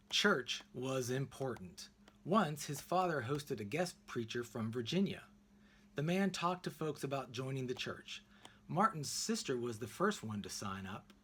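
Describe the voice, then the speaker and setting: Man, animated read-aloud narration, mid-pitched, deliberate storytelling pauses, faint noise behind. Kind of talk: expressive children's picture book read-aloud.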